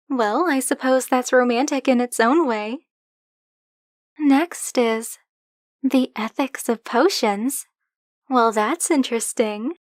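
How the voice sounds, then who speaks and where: A woman's voice: a little high, dry recording, clean studio sound. A woman playing a flirty, possessive dragon-blooded librarian in an ASMR roleplay, speaking to the listener in a teasing, coy, insistent manner. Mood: playfully possessive, teasing. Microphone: close, dry microphone.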